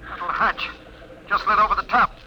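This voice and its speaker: An actor playing a wary military general exploring in an old-time radio drama. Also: male voice, high voice, steady tone, a little fast, pushed and bright. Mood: alarmed. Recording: very noisy old radio recording.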